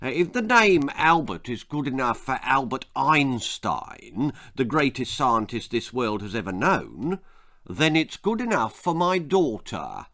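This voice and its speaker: A man's voice: medium pitch, big pitch swings, measured pace, pushed and bright, full character voice. A man voicing a pompous, vain, foolish middle-aged father in a children's story, self-important and blustering.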